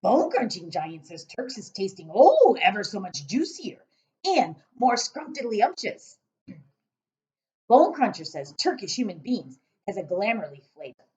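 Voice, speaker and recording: Storybook giant, played by a woman: huge, boastful male, loud and booming, raised lively pitch, muffled recording. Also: measured pace. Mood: gleeful, boastful. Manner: emphatic, shouting, mangled grammar and invented words. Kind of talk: children's storybook read-aloud.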